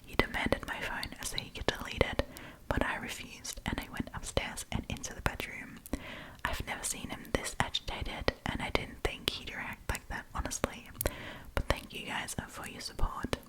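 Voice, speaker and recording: A woman hosting an ASMR storytime, reading and chatting in a soft, breathy whisper with a gossipy, friendly manner. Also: moderate pace, rough recording. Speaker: host of a storytime channel.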